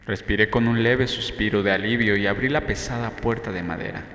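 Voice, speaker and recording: A man's voice: on the low side, steady tone, brisk, a bit bright. A man reading a novel as an audiobook narrator, in scripted first-person storytelling. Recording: echoey reverberant room, faint background noise.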